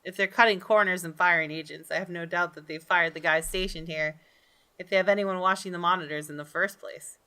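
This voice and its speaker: A woman's voice: on the low side, steady tone, brisk, bright, forceful tone, clear, resonant tone. A woman hosting a gaming video, reading visual novel text aloud and reacting expressively.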